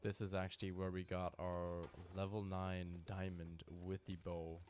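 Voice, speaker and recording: A man's voice: low-pitched, flat delivery, measured pace, darkish tone. A tired man streaming a hunting game live, chatting casually and talking to his viewers by name. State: tired from little sleep. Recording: clear music bed behind voice. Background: music playing.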